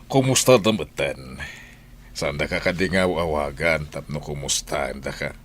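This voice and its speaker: A man acting a very deep-voiced kindly master. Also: a little slow, fairly bright tone, slight background noise. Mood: sympathetic. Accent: Filipino, Ilocano. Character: kindly, easygoing master of the house, a father. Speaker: radio drama actor. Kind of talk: Ilocano radio drama acting.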